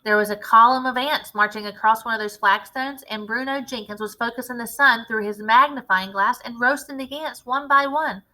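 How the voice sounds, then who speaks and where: A woman reading a children's story aloud as an expressive storyteller. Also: fairly high pitch, normal speed, hardly any pauses, low-quality recording. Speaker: read-aloud storyteller, teacher.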